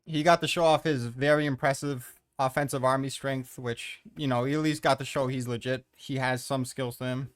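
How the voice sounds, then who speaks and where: A man's voice: mid-range voice, steady tone, moderate pace, few pauses. A man giving casual, opinionated countdown commentary as host, blunt and exasperated, swearing freely as he rants. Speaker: host of a manga ranking commentary.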